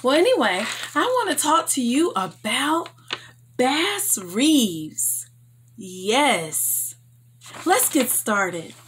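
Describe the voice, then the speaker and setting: A woman hosting a story time, reading aloud for children in an enthusiastic, expressive and emphatic way. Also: high-pitched, drawn-out words, slight background noise.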